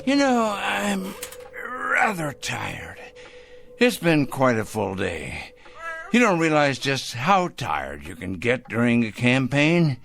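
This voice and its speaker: An actor playing a fanatical, self-righteous male vigilante in a radio drama, swinging from hushed murmurs to shrill outbursts. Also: huge pitch swings, slow, vocal fry, exaggerated voice. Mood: zealous. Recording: faint music score behind.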